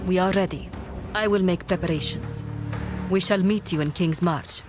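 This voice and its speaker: A woman's voice: lowish voice, normal speed, close to an everyday voice. A woman playing a determined, regal desert leader in a fantasy game, formal and commanding. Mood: grave resolve. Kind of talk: dramatic fantasy game acting. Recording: music under the voice.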